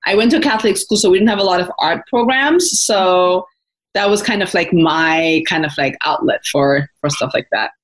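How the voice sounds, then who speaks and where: Low-voiced woman from Ethiopia, chatty guest. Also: moderate pace. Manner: thoughtful, many fillers and restarts. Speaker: founder, guest. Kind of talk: casual interview talk.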